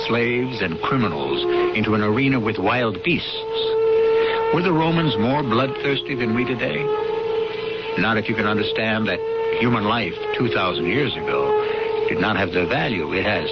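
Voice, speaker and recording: Dramatic low-voiced man hosting old radio theater. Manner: dramatic, portentous, deliberate phrasing. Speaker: host and narrator. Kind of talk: scripted theatrical radio narration. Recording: dull old radio broadcast.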